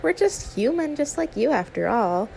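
A woman's voice: sits high, sing-song, moderate pace, gravelly, darkish tone, a little hiss or hum, full character voice, poor audio. A woman voicing an anxious, sweet young female YouTuber in an audio roleplay, stammering, awkward and apologetic. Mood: reassuring. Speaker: ASMR roleplay performer. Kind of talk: one-sided ASMR audio roleplay.

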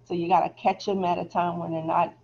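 A soft-spoken woman teaching a relaxed phlebotomy review lecture over a video call, chatty and laughing easily. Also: fairly low pitch, fairly quick, creaky, slight background noise. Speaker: phlebotomy instructor. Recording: slightly dull video call audio.

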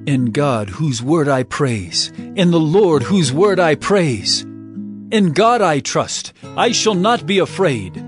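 Slow, devout psalmist. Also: male voice, a little high, soft background music. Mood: devout trust. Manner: reverent, expressive. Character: devout psalmist trusting and thanking God. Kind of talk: dramatized Scripture recitation.

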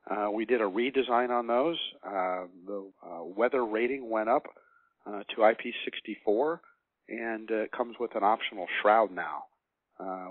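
An American man answering interview questions as a sales manager, measured and pausing often as he explains. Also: lowish voice, no echo. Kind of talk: interview answers about products. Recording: narrow muffled phone line.

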